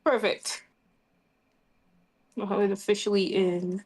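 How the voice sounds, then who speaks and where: A woman's voice: mid-range voice, flat delivery, slow pace, darkish tone, a little hiss or hum. A woman chatting casually and playfully as a player in an online tabletop roleplaying game.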